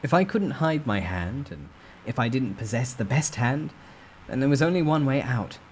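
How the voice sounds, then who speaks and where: A man's voice: medium pitch, animated, moderate pace, darkish tone, slight background noise. A man narrating a novel as a dramatic, theatrical reading. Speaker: storyteller.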